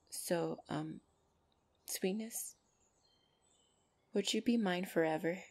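A woman's voice: on the low side, vocal fry, darkish tone. A woman playing a loving, bashful and protective orc girlfriend in an intimate ASMR roleplay, speaking tenderly and hesitantly. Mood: nervous, tender. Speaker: voice actor in audio roleplay.

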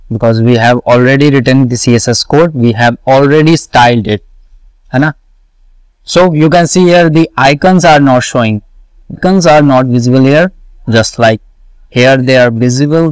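A man walking through a coding tutorial, slowly repeating each step and answering his own questions. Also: medium pitch, smooth, dry recording, rough recording. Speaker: web development tutor. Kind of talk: screen-recorded coding tutorial.

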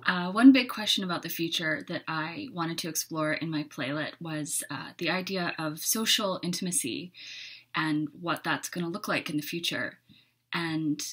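A woman's voice: sits low, normal speed, a bit bright, slightly rough. A woman in her thirties talking reflectively to camera as a playwright. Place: small bedroom, indoors.

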